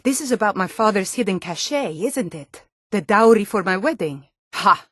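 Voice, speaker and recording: Blunt Nordic townswoman, middle-aged, proud, medium pitch, theatrical game dialogue, close dry recording. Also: expressive, normal speed, a bit bright, slightly rough, no room sound. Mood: haughty. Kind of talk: video game character voice acting.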